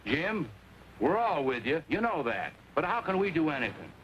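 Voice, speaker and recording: A worried but loyal townsman speaks with lively rises and falls over faint soundtrack noise. The delivery is classic old Western film acting. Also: on the high side, normal speed, lo-fi sound.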